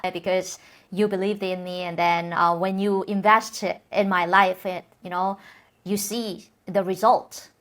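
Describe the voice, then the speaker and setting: A woman's voice: fairly low pitch, level tone, fairly bright tone. Woman speaking careful Korean-accented English. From North Korea. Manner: careful, halting English with frequent restarts. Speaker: host. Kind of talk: conversational interview.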